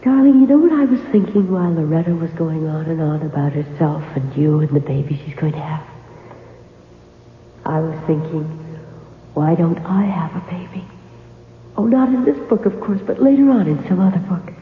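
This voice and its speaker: A woman acts a possessive imaginary temptress in a radio mystery play. She is breathy, coy and gushing. Her voice rings with echo on a narrow, faintly noisy broadcast. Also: fairly low pitch, moderate pace, natural voice. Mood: coy, dreamy. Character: seductive, possessive imaginary woman, a novelist's living creation. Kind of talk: radio mystery drama acting. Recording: echoey, tinny radio broadcast, faint noise.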